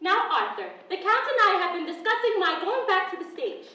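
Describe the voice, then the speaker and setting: An actress plays a flighty, theatrical farce mother. Her very high voice swings widely in pitch, heard from a distance in an echoey room. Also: big pitch swings, brisk, pushed and bright, exaggerated voice. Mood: indignant. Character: flighty, theatrical mother juggling husband, son and suitor. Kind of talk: stage farce acting.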